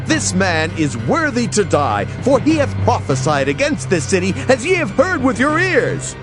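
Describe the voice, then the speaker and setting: An accusing priest declaims in a high voice that swings dramatically up and down. Music plays behind the dramatized scripture performance. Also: male voice, wildly swooping pitch, moderate pace. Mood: indignant accusation. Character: accusing male priest demanding a prophet's death.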